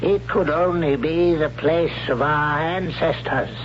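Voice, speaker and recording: An actor playing a stern, devout older high priest and father in a solemn, declamatory 1970s radio drama style. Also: steady tone, takes it slowly. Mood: grave. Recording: dull, noisy 1970s radio recording.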